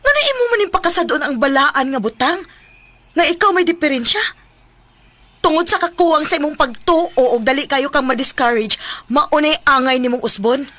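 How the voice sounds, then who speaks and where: An actress with a Filipino accent playing a devout, caring wife who scolds and preaches, in radio drama style. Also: female voice, sits high, lively intonation, normal speed, a bit bright, dry recording. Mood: reproachful. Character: devout, reproachful wife, nagging but caring.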